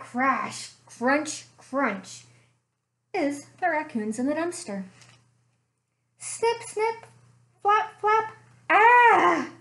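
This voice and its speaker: A young woman reading a picture book aloud for children, slow and expressive with playful sound effects, recorded on a webcam in a small room at home. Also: high-pitched. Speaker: children's librarian. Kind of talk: storytime read-aloud.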